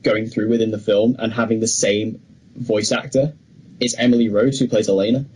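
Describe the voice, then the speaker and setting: A man's voice: fairly low pitch, little pitch movement, normal speed, darkish tone, breathy edge, rough recording. A young man co-hosting a podcast, chatting casually and enthusiastically with plenty of opinions. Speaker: podcast co-host. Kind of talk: casual film chat.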